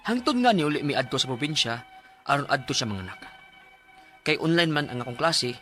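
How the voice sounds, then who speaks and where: A man's voice: a little high, sing-song, fairly quick, bright, forceful tone. An actor playing an earnest, lovestruck male student, speaking expressively in a radio drama over music. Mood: earnest, confiding. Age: young adult. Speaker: letter narrator and lead character. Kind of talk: radio drama acting and narration. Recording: music under the voice.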